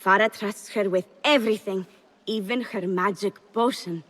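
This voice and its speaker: A woman's voice: medium pitch, moderate pace, fairly bright tone, close to an everyday voice. An actress voicing a timid, wistful palace servant woman in a video game. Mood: shy, wistful. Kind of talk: video game cutscene acting. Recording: reverberant hall.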